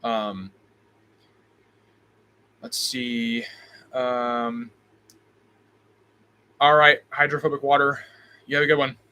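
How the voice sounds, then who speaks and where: A man's voice: mid-range voice, level tone, slow, long pauses, sharp tone, clear, resonant tone. A man from Illinois with a slight Midwestern accent, hosting a live Q&A. He reads viewer questions aloud and answers off the cuff, with plenty of ums and restarts. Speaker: host. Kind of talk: live stream Q&A.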